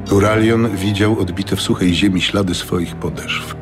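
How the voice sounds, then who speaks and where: A man narrating a scripted fantasy audiobook with measured, dramatic storytelling. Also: deep voice, steady tone. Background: music.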